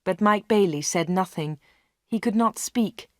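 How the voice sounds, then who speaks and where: Brief: woman narrator, slow and clear for learners, dry close studio mic. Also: mid-range voice, no room sound. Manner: clear and measured. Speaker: audiobook narrator. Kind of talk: slow read-along storytelling for learners.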